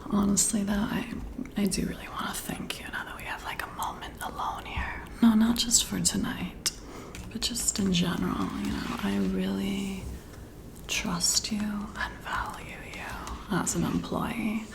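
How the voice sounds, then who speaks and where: Softly whispering, creaky-voiced woman. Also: mid-range voice. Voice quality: soft, breathy whisper with creaky edges. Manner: unhurried, flattering, intimate, as a friendly boss. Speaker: ASMR roleplay performer. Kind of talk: soft-spoken ASMR roleplay, one-sided intimate chat.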